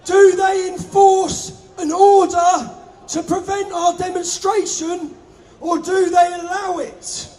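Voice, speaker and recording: A man shouting an impassioned speech to a street rally crowd through a stage microphone. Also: very high voice, flat delivery, takes it slowly. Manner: shouting to a crowd, hoarse-edged. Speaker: rally organiser speaking on stage. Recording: live outdoor rally with crowd. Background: crowd noise.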